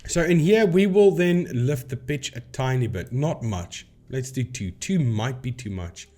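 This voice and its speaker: A man's voice: mid-range voice, lively intonation, measured pace, dark tone. A man hosting a casual explainer video, talking informally to viewers and thinking aloud as he demonstrates. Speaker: video host, paranormal debunker.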